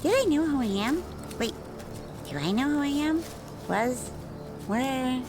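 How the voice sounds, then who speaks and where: Casting: actress for scatterbrained dangerous huntress, very lively pitch, rambling and playful, over background music. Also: female voice, unhurried. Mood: flighty, menacing. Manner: rambling, self-questioning, talks to her animal. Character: flighty, scatterbrained female hunter, casually menacing. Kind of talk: ASMR audio roleplay acting.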